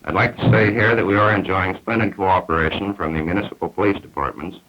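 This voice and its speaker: An American man, a police superintendent, giving a formal prepared statement in official, deliberate wording. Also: sits low, steady tone, husky. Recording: muffled 1950s archival TV film soundtrack.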